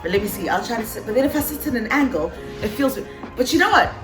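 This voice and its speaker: A woman's voice: a little high, expressive, fast talker, music in the background. Bubbly Cameroonian woman in an echoey room. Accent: Cameroonian English, shifting toward British. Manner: bubbly, laughs often, playful asides. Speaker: vlogger and voiceover artist. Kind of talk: casual chat to camera.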